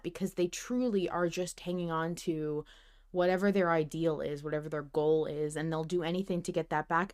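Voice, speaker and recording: A woman hosting a podcast, talking casually about a story with her co-host. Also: lowish voice. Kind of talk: casual discussion of a fiction podcast.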